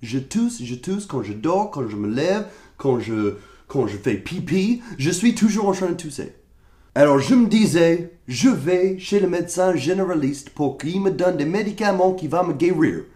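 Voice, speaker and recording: American man ranting, high voice, echoey room. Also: expressive, normal speed, mellow. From United States. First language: English. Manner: exasperated, sarcastic complaining. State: coughing, sick. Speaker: vlogger. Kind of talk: comedic rant to camera.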